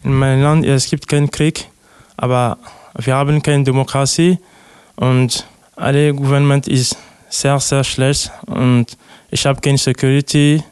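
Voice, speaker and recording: A man's voice: medium pitch, flat delivery, unhurried, a little mellow, clear, resonant tone, no echo. A young man from Togo answering interview questions in hesitant, simple sentences with many fillers. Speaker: interviewee, refugee. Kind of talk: halting interview answers in learner German.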